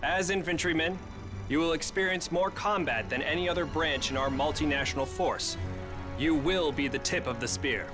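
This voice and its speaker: An actor playing a firm, rousing American infantry captain, narrating a recruitment film in a video game. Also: male voice, fairly high pitch, moderate pace, over music, close to an everyday voice.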